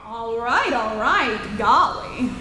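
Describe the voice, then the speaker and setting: A woman playing an inquisitive, sharp-tongued newspaper reporter in an old-time radio drama, insistent and theatrical. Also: a little high, lively intonation, slow pace, crowd noise. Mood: exasperated. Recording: echoey hall, roomy, faint noise.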